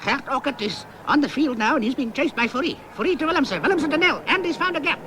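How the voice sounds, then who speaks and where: A man's voice: very high-pitched, animated, fast talker. A man voicing a bossy, fretful sports broadcast assistant in madcap radio comedy. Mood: urgent commentary.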